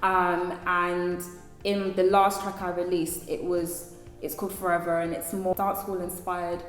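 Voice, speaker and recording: A singer being interviewed, a woman with a deep speaking voice who talks thoughtfully with many fillers and restarts. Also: flat delivery, a little slow, echoey room, long reverb tail, faint music behind. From London. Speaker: singer, guest. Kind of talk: casual interview talk.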